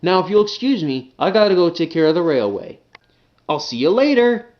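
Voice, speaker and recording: A man's voice: high-pitched, sing-song, brisk, highs cut off. A man voicing a courteous, businesslike railway manager in a children's train story, explaining and encouraging. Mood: instructive.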